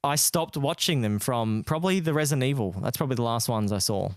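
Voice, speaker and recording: A man's voice: medium pitch, quick pace, dry recording. A man co-hosting a podcast, chatting casually with slangy, informal phrasing. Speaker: podcast co-host.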